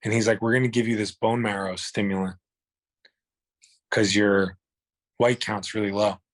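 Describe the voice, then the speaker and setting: A man's voice: on the low side, flat delivery, moderate pace, no echo. A man, telling his own illness story in a blunt, profane, rambling way. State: hoarse. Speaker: guest. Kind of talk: candid personal storytelling.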